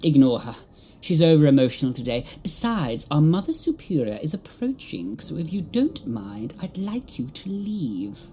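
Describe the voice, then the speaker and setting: A stern, imperious cat nun acted by a woman, low and mellow but with big swings in pitch. She is haughty and scornful, in an audio drama with faint noise behind. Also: sing-song, normal speed. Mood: imperious, threatening. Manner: haughty, commanding.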